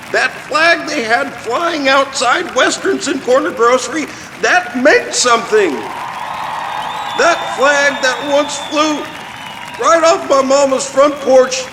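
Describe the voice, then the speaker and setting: Actor as slow, high-voiced rally politician, echoing. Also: male voice, full character voice. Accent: American. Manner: slow, oratorical, repetitive emphasis. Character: earnest small-town populist governor, proud campaigning politician. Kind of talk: political speech in audio drama. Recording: echoing noisy rally hall with crowd.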